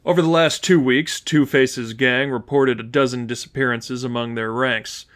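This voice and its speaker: A man's voice: mid-range voice, steady tone, normal speed, a bit bright. A man reading fan fiction aloud as scripted audiobook narration.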